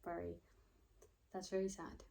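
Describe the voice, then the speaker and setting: A woman's voice: on the low side, completely flat delivery, unhurried, gravelly, warm tone, a little hiss or hum. A woman in her twenties, a student vlogger, rambling casually and thinking aloud, often losing her train of thought. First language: not Russian. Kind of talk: casual chat.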